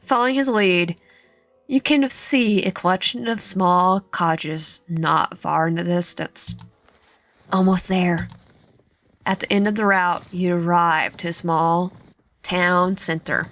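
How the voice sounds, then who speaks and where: A woman's voice: fairly low pitch, steady tone, takes it slowly, fairly bright tone. A woman gaming host reading a visual novel aloud with commentary, often stumbling over words. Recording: echoey room, faint music behind. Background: faint music.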